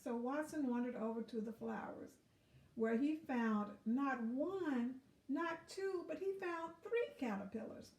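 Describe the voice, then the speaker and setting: A woman reading a picture book aloud to children, expressive and pausing to put questions to them. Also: high-pitched, distant mic. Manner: expressive, asks questions of young listeners. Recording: roomy echo, away from mic.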